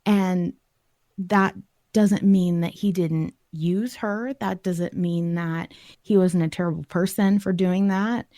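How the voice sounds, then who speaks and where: Mellow, lower-voiced woman hosting reflective discussion. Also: steady tone, takes it slowly, dry recording, clean studio sound.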